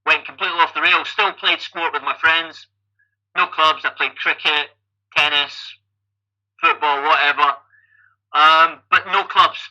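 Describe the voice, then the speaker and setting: Bright, sharp-voiced Scottish man in his fifties. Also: a little high, steady tone, unhurried, pauses often, muffled recording. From Scotland. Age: 50s. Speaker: podcast guest, amateur endurance athlete. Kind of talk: conversational life-story telling.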